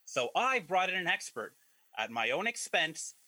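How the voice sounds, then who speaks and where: A man's voice: high voice, very animated, moderate pace, pushed and bright, no echo, heavily acted, low-quality recording. A man playing a flustered small-town mayor. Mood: formal. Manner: fussy, evasive, exasperated. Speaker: town meeting chair. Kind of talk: comedic radio play acting.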